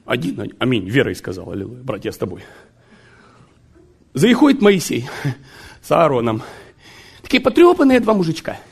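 A man preaching a sermon, joking and animated, with sudden shouts and laughter. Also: mid-range voice, moderate pace, gravelly, pauses often, breathy edge.

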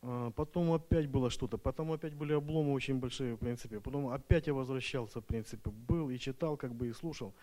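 A man's voice: mid-range voice, quick pace, few pauses, warm tone. A man speaking Russian as a second language, giving a nervous, hesitant church testimony full of fillers and restarts. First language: not Russian. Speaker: retreat participant giving testimony.

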